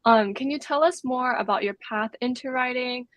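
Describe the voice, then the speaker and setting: A woman's voice: high voice, normal speed, fairly bright tone, dry recording. A woman hosting a book club interview, reading welcomes and questions in a prepared way.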